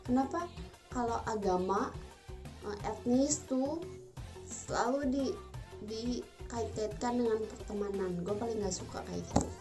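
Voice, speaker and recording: A woman's voice: fairly high pitch, measured pace, long pauses. Young Sundanese-accented woman chatting casually. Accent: Sundanese Indonesian. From Bandung, Indonesia. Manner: chatty, emphatic, slangy. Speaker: vlogger. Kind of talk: casual talk to camera. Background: music.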